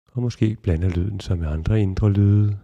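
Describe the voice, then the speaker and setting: A man guiding a relaxation meditation in a calm, measured, instructional way with long pauses. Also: fairly low pitch, creaky, dull audio. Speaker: yoga nidra guide.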